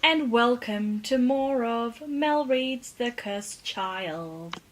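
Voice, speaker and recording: A woman's voice: a little high, expressive, drawn-out words. A woman co-hosting a podcast, chatting casually and cracking wry jokes. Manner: wry, joking. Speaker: podcast co-host. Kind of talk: casual comedic chat.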